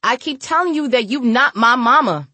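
Woman voicing a shrill, snotty young girl. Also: lively intonation, normal speed, sharp tone, no room sound. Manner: slangy, defiant, exclamatory.

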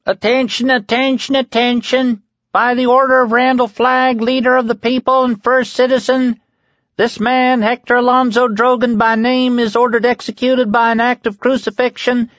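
Twitchy male proclaimer, played by a male narrator: high, piercing, officious, auctioneer-like delivery for an audiobook. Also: flat delivery, normal speed, a bit bright, no echo, dull audio. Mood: officious proclaiming.

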